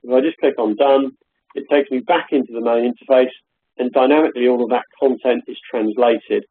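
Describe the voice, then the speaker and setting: A husky man walks through a software demo, often pausing and restarting. His voice comes over tinny, phone-like call audio from a home office. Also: mid-range voice, fairly even intonation. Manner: hesitant, filler-heavy explaining. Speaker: software product demonstrator. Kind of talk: live webinar product demo.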